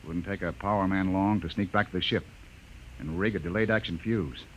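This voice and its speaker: An actor playing a skeptical, commanding spaceship captain, brisk and questioning, in old-time radio drama style. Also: male voice, deep voice, fairly even intonation, husky. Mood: firm, urgent. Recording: dull, slightly noisy old radio recording.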